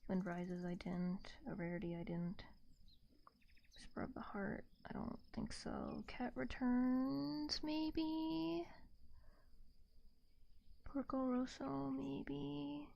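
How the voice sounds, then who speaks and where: A woman streamer chatting casually in a gentle, soft-spoken ASMR style, rambling and hesitant, close to a binaural microphone. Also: mid-range voice, gravelly, highs cut off.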